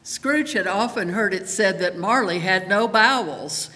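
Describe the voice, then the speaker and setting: A woman reading a scripted story aloud as narrator, expressive and dramatic. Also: mid-range voice, unhurried. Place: large church, live performance. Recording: reverberant hall, faint background noise.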